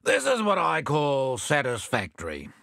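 A man doing a gruff old koala sailor. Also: a little high, huge pitch swings, a little slow, fairly bright tone, no room sound, clean studio sound, exaggerated voice. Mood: grumbling. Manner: grumbling, blustering.

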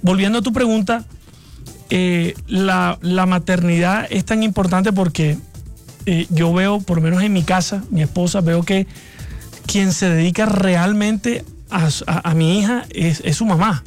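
Guest: costeño man in his thirties, high-pitched, halting speech, faint music underneath. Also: steady tone. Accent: Barranquilla costeño. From Colombia, raised in Barranquilla. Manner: hesitant, repeats words while searching. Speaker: guest singer. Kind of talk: radio interview.

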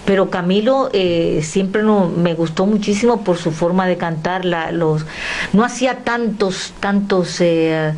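An older woman from the Dominican Republic, a guest telling animated personal stories and acting out remembered dialogue. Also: lowish voice, unhurried. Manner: lively, acts out remembered dialogue.

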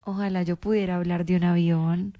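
Colombian paisa lady on a casual call, lowish voice, kinda teasing and pushy. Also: flat delivery, fairly quick, dark tone, dry recording. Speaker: phone caller. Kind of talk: casual, coaxing phone chat.

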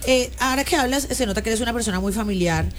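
A woman with a Colombian coastal accent hosting a casual radio interview, lively and teasing in quick banter. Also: fairly high pitch, a loud crowd. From Colombian Caribbean coast. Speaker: radio host. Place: radio studio.

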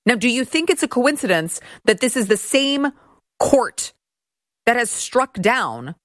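A woman's voice: a little high, animated, measured pace, a bit bright, dry recording, clean studio sound. A woman hosting a political commentary show, talking in a sarcastic, mocking way. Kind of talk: opinion commentary monologue.

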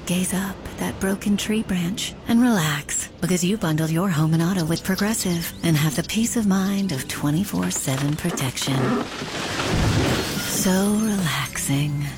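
A woman playing a soothing guided meditation leader in an advert, soft and breathy. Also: fairly low pitch, a little slow, background noise. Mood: serene, soothing. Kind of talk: parody meditation ad narration.

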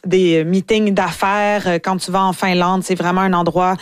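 A woman's voice: lowish voice, flat delivery, moderate pace, clear, resonant tone, no room sound, clean studio sound. A woman from Quebec with a Quebec French accent, a guest telling personal anecdotes in a wry, self-deprecating, casual way and acting out remarks. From Quebec, Canada. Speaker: radio guest, stage performer. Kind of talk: comic personal storytelling in casual chat.

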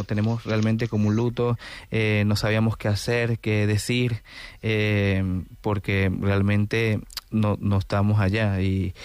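A Venezuelan man speaks earnestly as a radio guest, pausing often and restarting his sentences. His voice is low, smooth and clear, with a flat, steady pitch, and the studio sound is dry and close. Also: dry recording. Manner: earnest, hesitant, many fillers and restarts. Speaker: orchestra director.

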